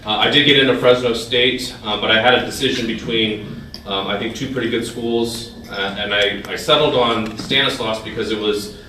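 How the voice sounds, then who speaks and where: A man's voice: on the low side, fairly even intonation, moderate pace, sharp tone, slight background noise. A man in his thirties, raised in California's Central Valley, whose first language was Thai, giving a hesitant talk to a club with many fillers and restarts. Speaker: guest speaker. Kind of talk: informal speech to a club. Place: large dining hall. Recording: echoey hall, mic far off.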